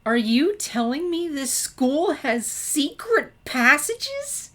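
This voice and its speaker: A woman voicing an excitable, bookish teenage girl with an eager, gushing manner in a story reading. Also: sits high, slow pace, voice pushed up, full character voice. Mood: amazed, excited. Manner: gasping, gushing, eager questions.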